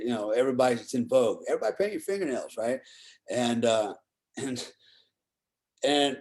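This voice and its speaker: A man's voice: lowish voice, darkish tone. A middle-aged man telling stories and giving opinions casually, in a blunt, profane way, often checking for agreement. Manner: often seeks agreement with "right?" and "you know". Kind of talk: casual conversational storytelling and opinion.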